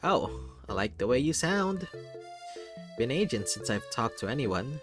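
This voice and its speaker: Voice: medium pitch, very animated, unhurried, over music, voice pushed up. A performer voicing a friendly, eccentric horned forest creature in a visual novel, playful and eager, with a hesitant, chatty lilt. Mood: chatty, cheerful. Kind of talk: visual novel character reading.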